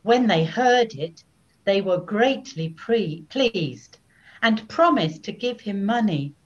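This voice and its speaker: An older woman reads scripture as a solemn narrator. Her pace is slow and deliberate, with expressive rises and falls. The webcam recording is a little dull. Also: mid-range voice. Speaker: narrator (evangelist). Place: small room at home.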